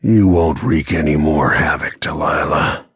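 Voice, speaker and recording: An actor playing a grim, vengeful middle-aged assassin in a video game, brooding in a gravelly, husky voice with low-key menace. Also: male voice, a little slow, a bit bright, no room sound, clean studio sound, full character voice. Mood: brooding, resolute. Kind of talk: dramatic game voice lines, brooding inner monologue.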